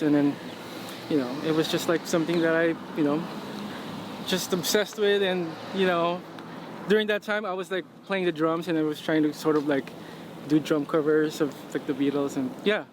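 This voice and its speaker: A man's voice: on the high side, moderate pace. Man from the Philippines, street noise. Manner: relaxed, many fillers like "you know". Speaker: interviewee, musician. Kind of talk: casual interview. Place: outdoors on a city street.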